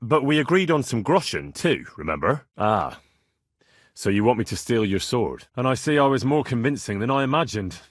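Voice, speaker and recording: A man's voice: mid-range voice, big pitch swings, normal speed, no room sound, studio quality. A male actor playing a cheeky, blunt young swordsman who haggles cockily in a medieval video game. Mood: cocky bargaining. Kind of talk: video game character dialogue.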